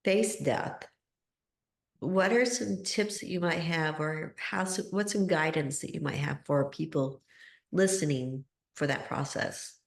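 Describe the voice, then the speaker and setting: A woman's voice: deep voice, a little slow, echoey room. A woman podcast host with a cold, sounding a little nasal, chatting in a friendly way. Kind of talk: podcast interview.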